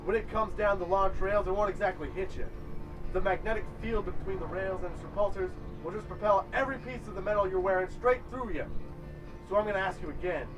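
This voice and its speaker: Man acting a high-pitched, coolly menacing gang enforcer. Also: lightly acted. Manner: calm, taunting, deliberate threats. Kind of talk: dramatic film acting. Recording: distant mic under loud music.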